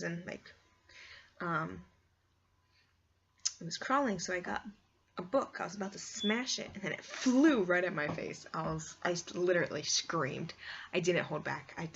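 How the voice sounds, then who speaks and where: A woman with a slightly low voice chats in a loose, rambling vlog style. She is expressive and peppers her talk with "like". The recording is dull and lo-fi, with some noise behind her. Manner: expressive, squeamish, exclaims in disgust; heavy use of "like" as a filler. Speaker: video blogger. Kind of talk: casual rambling chat. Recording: dull lo-fi sound with clear background noise.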